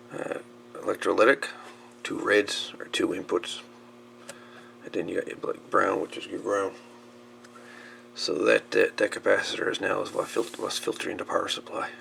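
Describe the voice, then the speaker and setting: Gravelly low-voiced man demonstrating radio repair. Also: level tone, measured pace, long pauses, bright, forceful tone, a little hiss or hum. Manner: informal, folksy, calls the radio "her". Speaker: radio repair hobbyist presenting a how-to video. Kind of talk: casual hands-on demonstration talk.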